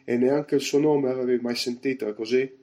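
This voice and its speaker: A man voicing a bluff one-legged pirate innkeeper in a storybook, loud and emphatic, firing off orders and questions. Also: mid-range voice, fairly even intonation, a little fast, lightly acted. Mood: angry, stern. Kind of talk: audiobook character voice.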